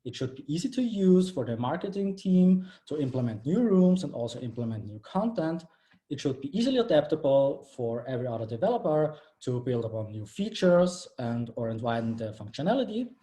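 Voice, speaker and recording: 30s guy from austria on a video call, warm dark voice, walks through his project. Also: medium pitch, fairly quick. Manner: a little flustered by screen-sharing trouble, then steady and explanatory. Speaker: bootcamp graduate presenting a project. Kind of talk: live-streamed project presentation.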